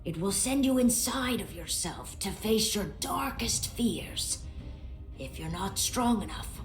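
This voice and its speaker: An actress playing a calm, caring bard companion in a fantasy video game, measured and serious in manner. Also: female voice, mid-range voice. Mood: grave. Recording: echoey, faint music behind.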